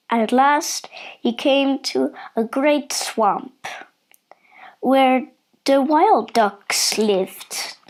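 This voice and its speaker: A woman reading a children's bedtime story in a deliberate, expressive narration. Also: high voice.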